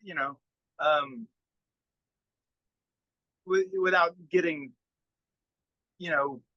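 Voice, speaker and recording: A man being interviewed as a musician, talking casually and haltingly, searching for words and often trailing off into "you know.". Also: fairly high pitch, dry recording, dull audio.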